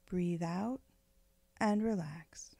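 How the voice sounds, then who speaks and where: A woman leading a guided meditation in a gentle, unhurried, soothing way, with phrases often trailing off into breath. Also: fairly low pitch, gravelly, dry recording. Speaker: meditation guide, spiritual director.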